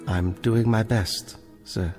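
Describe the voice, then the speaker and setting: An actor in a radio drama playing a mild, bookish librarian, polite and earnest, with a stubborn, principled streak. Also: male voice, fairly low pitch, steady tone, takes it slowly, darkish tone, soft background music. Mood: meek, puzzled.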